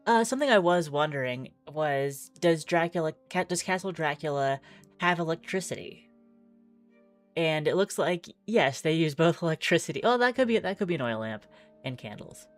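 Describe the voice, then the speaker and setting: A woman's voice: low-pitched, animated, normal speed, faint music behind. A woman streamer doing chatty game playthrough commentary, reading text aloud and musing as she goes.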